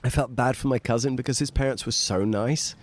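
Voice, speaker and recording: A middle-aged man from Portugal chats as a podcast guest in a loose, rambling way. He laughs often and wanders between thoughts. His voice sits at an ordinary pitch for a man. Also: fairly quick. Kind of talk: casual conversation.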